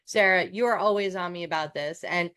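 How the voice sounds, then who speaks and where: A woman from New York co-hosts a coaching podcast in a relaxed, candid chat. Her voice sits somewhat low, and she often restarts a thought or pokes fun at herself. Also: moderate pace, smooth.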